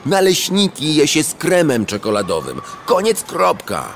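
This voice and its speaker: A man's voice: fairly high pitch, moderate pace. An actor voicing a cocky young male cartoon dog who teases and boasts. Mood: mocking. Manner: boastful, teasing, very lively. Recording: studio cartoon audio with music behind.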